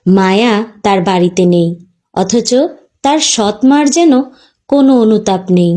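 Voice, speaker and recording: A woman's voice: mid-range voice, lively intonation, a little slow, dark tone. A woman narrating a story in a scripted, dramatic storytelling style.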